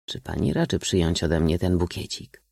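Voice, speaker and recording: Awkward, blurting young aristocrat in love, audiobook, male reader acting, husky low voice, hesitant and keen. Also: expressive, moderate pace, darkish tone, no room sound, studio quality, full character voice. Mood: eager, awkward. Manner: blurting, hesitant, self-correcting. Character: bumbling young aristocratic suitor, horse-mad, eager, awkward.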